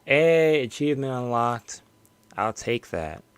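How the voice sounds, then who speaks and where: A man's voice: medium pitch, expressive, takes it slowly, clean tone, dry recording. A tired young man streaming from his bedroom, losing his voice and rambling casually with small laughs. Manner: tired, rambling, chuckling often. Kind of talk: casual stream sign-off chat.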